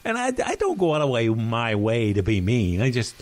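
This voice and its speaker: A middle-aged man co-hosting a chat podcast, voice sitting at an ordinary middle pitch. He rambles through his stories, correcting himself as he goes, and now and then he coughs. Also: huge pitch swings, normal speed. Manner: rambling, self-correcting storyteller. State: occasional cough. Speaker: podcast co-host. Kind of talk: casual chat.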